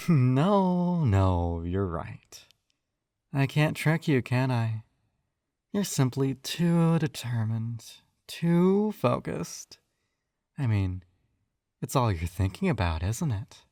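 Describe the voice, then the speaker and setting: A man playing a possessive, smugly tender hypnotist captor in an intimate ASMR roleplay, coaxing and teasing in a soft hushed voice that drifts into whispers. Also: mid-range voice, very animated, takes it slowly, dry recording, clean studio sound.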